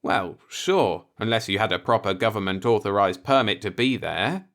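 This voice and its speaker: A man's voice: on the low side, normal speed, a bit bright. A man voicing an earnest, law-abiding and easily alarmed man in a science-fiction audiobook, with an insistent manner. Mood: uncomfortable. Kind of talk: audiobook character voice in a sci-fi story.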